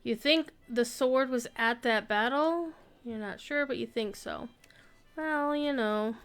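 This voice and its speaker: A woman's voice: a little high, slow, fairly bright tone, smooth. A woman streaming a game and chatting casually, thinking aloud and sometimes reading the quest text. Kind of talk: casual gameplay commentary. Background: faint game music.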